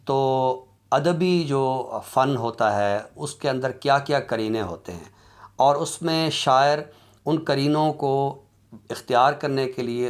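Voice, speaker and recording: A man with an Urdu accent giving a formal academic lecture on literature, speaking in a measured, deliberate way with pauses and drawn-out fillers. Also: mid-range voice.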